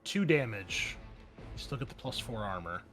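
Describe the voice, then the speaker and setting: A man's voice: mid-range voice, big pitch swings, moderate pace, a bit bright. A man running a tabletop roleplaying game as game master, narrating in a casual, descriptive, wry manner. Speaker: game master and show host. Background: music behind the voice.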